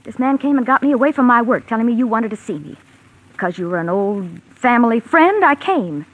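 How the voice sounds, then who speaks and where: Guarded young American woman, old radio drama, husky edge, very animated pitch, indignant. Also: a little fast, phone-quality sound. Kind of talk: 1940s radio mystery acting.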